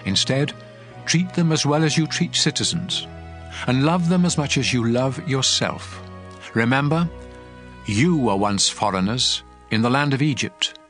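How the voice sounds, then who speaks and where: A man's voice: mid-range voice, expressive, a little slow, a bit bright. A man playing God as a stern lawgiver, solemn and commanding in a dramatized scripture reading. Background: music.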